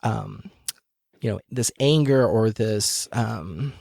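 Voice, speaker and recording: A man's voice: on the low side, slow pace, creaky, a little mellow, no room sound, studio quality. A man co-hosting a podcast, asking a long, winding question with many hesitant fillers. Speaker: podcast co-host. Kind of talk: interview questions.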